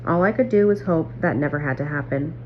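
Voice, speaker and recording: A woman reading a children's novel aloud in a measured, careful storytelling manner. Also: low-pitched. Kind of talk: read-aloud story narration. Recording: muffled audio, clear background hum.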